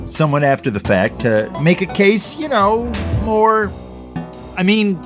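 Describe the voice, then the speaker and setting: An actor playing a good-natured, hesitant middle-aged police inspector in an audio dramedy, with a halting, polite way of talking. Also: male voice, high-pitched, sing-song. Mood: flustered, hesitant. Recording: music behind the voice.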